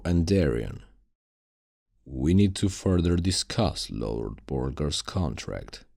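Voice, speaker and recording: A man voicing an old, grey founder of a fantasy thieves' guild, calm and commanding, with a gravelly, husky voice and a measured, formal, fatherly manner in an ASMR roleplay. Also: very deep voice, no room sound, studio quality, exaggerated voice.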